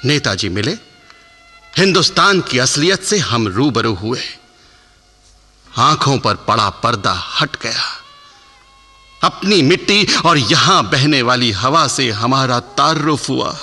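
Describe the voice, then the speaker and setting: A defiant young patriotic soldier in a radio drama, declaiming in a forceful, fairly high voice. His delivery is slow and impassioned, ringing in an echoing hall with music behind. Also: animated, full character voice. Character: defiant, proud young patriotic Indian soldier, aged thirty-one.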